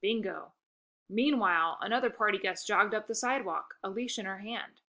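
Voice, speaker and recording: A woman reading a children's book aloud in an expressive narrating style. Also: medium pitch, normal speed. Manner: expressive, occasional stumbles over words. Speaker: storybook reader.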